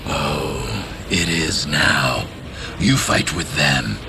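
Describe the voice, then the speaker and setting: An actor playing a menacing, imperious superhuman warlord in a video game cutscene, speaking slowly and deliberately in a gravelly voice. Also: male voice, a bass voice, very animated, heavy background noise, full character voice. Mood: slow menace. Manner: slow, deliberate, threatening delivery. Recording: loud music behind the voice. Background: loud music.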